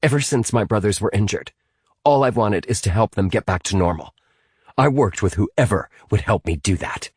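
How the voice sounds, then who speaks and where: Man reading as a stiff, defensive teenage girl, husky. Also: fairly low pitch, lively intonation, moderate pace, darkish tone, no echo, clean studio sound. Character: stiff, determined teenage girl, distrusted ex-enemy in an adventure novel.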